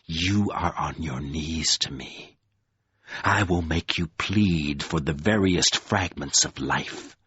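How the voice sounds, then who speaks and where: Brief: contemptuous ancient villain lord, husky and unhurried, low dry read, voiced by a male narrator. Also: fairly bright tone, dry recording, muffled recording, full character voice. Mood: menacing. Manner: slow, deep, sneering delivery. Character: scornful ancient male dark lord, mocking. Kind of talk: audiobook character voice.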